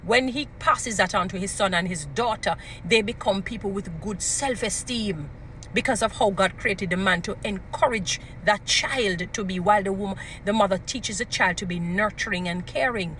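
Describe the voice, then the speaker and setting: Jamaican woman, strong mid-pitched voice, emphatic preachy advice-giver, informal delivery, some outdoor urban noise behind. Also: moderate pace, a bit bright, poor audio. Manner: emphatic, preachy, repeating short phrases for emphasis. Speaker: relationship advice speaker. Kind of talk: informal motivational advice talk.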